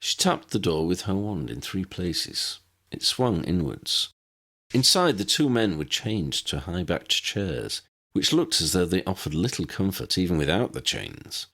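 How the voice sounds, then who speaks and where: A man's voice: deep voice, big pitch swings, unhurried, a bit bright. A man reading a scripted story as an audiobook narrator.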